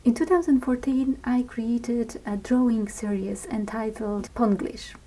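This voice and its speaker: Woman, artist, reflective, hesitant pauses, dark mellow tone. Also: fairly high pitch, fairly even intonation, low-quality recording. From Poland. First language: Polish. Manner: measured, with frequent hesitations. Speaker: visual artist. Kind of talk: reflective talk on her art practice.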